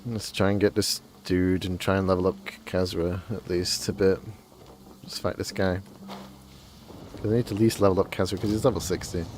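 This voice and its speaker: A woman's voice: a bass voice, little pitch movement, brisk, a little mellow, slight background noise. A woman game streamer giving casual live commentary, muttering to herself as she plays. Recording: game music behind the voice. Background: video game music.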